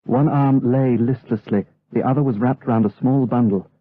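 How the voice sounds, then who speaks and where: Gallant nobleman, male actor: mid-pitched, earnest, formal, dramatic, on a band-limited radio play recording. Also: steady tone, normal speed, no room sound, close to an everyday voice. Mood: solemn narration. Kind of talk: gothic audio drama acting and narration. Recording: tinny radio drama, faint noise.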